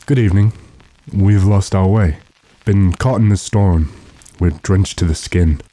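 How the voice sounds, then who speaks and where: A man playing a weary, decisive gentleman master with a dry, commanding manner in an old-time radio drama. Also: low voice, measured pace, no room sound.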